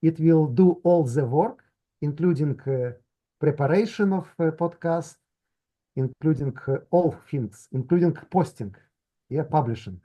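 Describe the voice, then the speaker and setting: A man speaking as a tech founder guest in an interview, halting with simple phrasing and pauses. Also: fairly high pitch, mellow. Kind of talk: interview answers.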